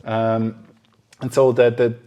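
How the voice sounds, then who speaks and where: A man talking casually and a little haltingly as an interviewer in a podcast conversation. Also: mid-range voice, flat delivery, dark tone, dull audio.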